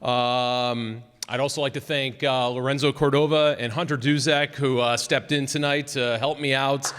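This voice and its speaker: A man's voice: mid-range voice, steady tone, moderate pace, fairly bright tone, clean tone. A man giving informal, hesitant thanks and closing remarks live on stage. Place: live hall with an audience. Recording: reverberant hall with audience noise.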